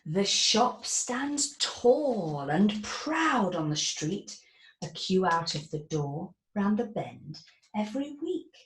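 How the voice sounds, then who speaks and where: Author reading her picture book aloud, slow and dramatic, whispers and gasps, roomy echo. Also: medium pitch. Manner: expressive, sing-song, with dramatic whispers and gasps.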